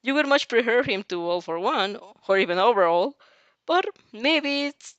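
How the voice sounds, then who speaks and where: A woman's voice: a little high, lively intonation, normal speed, a bit bright, no echo, dull audio. A woman reading a fan story aloud informally, giggly and stumbling over lines as she reacts to it. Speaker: narrator and reactor of fan fiction.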